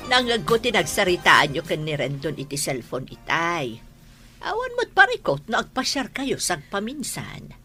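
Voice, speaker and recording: A woman acting a bossy but kindly middle-aged aunt in a Filipino radio drama, husky, with a Filipino accent. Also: fairly low pitch, wildly swooping pitch, moderate pace, sharp tone, faint music behind, full character voice. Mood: teasing, encouraging.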